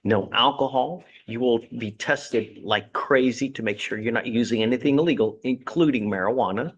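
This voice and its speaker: A man's voice: medium pitch, moderate pace, muffled recording, slight background noise. A man serving as judge in a courtroom, lecturing a defendant from the bench with blunt sarcasm and folksy sayings.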